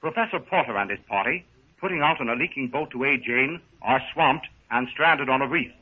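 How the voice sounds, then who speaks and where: A man delivers scripted serial announcements in a formal, dramatic 1930s style. His voice sits at an average pitch, heard through a very narrow, phone-like old radio recording. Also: a little fast, husky.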